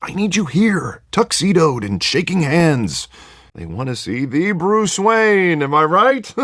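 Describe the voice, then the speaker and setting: An actor playing an affable district attorney running for mayor in a video game, with glib, chummy patter. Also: male voice, a little high, big pitch swings, slow, a bit bright, dry recording, studio quality, full character voice. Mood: upbeat persuasive. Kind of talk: video game dramatic acting.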